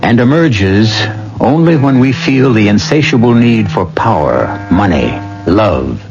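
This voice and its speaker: A man hosting a radio mystery show, narrating from a script in an ominous, theatrical manner with deliberate phrasing. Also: fairly low pitch, expressive. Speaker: host and narrator. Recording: dull old radio recording, loud music. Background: dramatic music.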